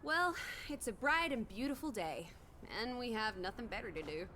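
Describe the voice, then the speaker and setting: A woman's voice: fairly high pitch, expressive, moderate pace, pushed and bright, a little hiss or hum. A woman voicing a playful, teasing, carefree young companion in a video game, with a breezy, cheeky delivery.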